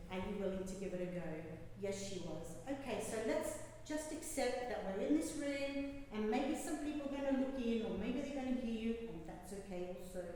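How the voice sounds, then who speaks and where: A woman coach gives a prepared speech, telling her story with animated energy and voicing her own instructions as she goes. Her voice sits a little high. The hall around her rings with long, echoing reverb, and she sounds distant from the microphone, with faint room ambience behind her. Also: slight background noise. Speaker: public speaking coach. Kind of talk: prepared speech to a club audience.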